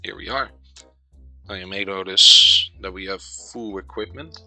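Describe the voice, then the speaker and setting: A man with a Dutch accent, a native Dutch speaker, giving casual let's play commentary and reading game text aloud, halting with many hesitations. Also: deep voice, vocal fry, pushed and bright, faint music behind, poor audio.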